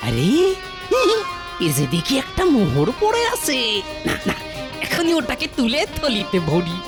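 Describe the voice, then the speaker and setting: Casting: crafty henpecked blacksmith, folk-tale cartoon, high-pitched, highly animated, grumbling. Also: male voice, unhurried, full character voice. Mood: delighted. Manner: exclamatory, grumbling, theatrical. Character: excitable village blacksmith, henpecked but crafty husband. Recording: music behind the voice.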